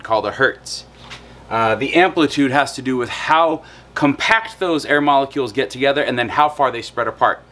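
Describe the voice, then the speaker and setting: Animated bearded lecturer man teaching outdoors. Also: medium pitch, slight background noise. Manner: animated explaining, demonstrates on guitar and whiteboard. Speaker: psychology lecturer. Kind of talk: recorded lecture. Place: outdoors in a backyard patio and garden.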